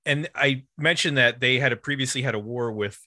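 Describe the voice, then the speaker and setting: A man co-hosting a music podcast, chatting casually about albums in an animated storytelling way. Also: medium pitch, brisk, no room sound, clean studio sound.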